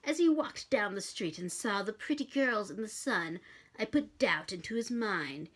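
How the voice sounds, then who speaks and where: A woman voicing a grim, malicious male demon who speaks formally, with deliberate, menacing pauses. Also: mid-range voice, lively intonation, a bit bright. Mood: solemn.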